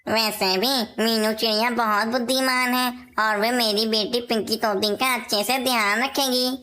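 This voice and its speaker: Woman as a bright, high-voiced parrot king. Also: fairly even intonation, normal speed, slight background noise. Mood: worried, deliberating. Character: worried, dutiful male parrot king, a father. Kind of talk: Hindi cartoon voice acting.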